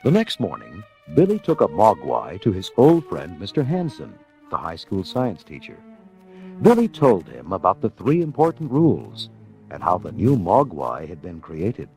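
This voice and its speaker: Male storybook narrator: low voice, hugely expressive dramatic reading, music bed underneath. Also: normal speed, low-quality recording.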